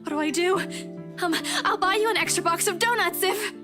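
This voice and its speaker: A woman's voice: extremely high pitch, fairly quick, voice pushed up. A woman voicing an anxious, sweet young working woman in a visual novel. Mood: panicky. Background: background music.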